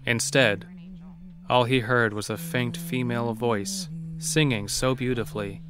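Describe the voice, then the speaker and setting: A man's voice: medium pitch, measured pace. A man reading scripted narration for a horror story as its narrator. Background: faint music.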